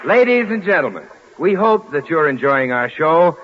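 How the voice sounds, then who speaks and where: A man's voice: on the high side, sing-song, moderate pace. An American man announcing formally to an audience in 1940s radio style. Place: auditorium stage. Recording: muffled, hissy 1940s radio broadcast.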